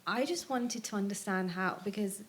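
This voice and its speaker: A woman's voice: medium pitch, moderate pace. A woman in the audience of a public seminar, asking a long question with many hesitations.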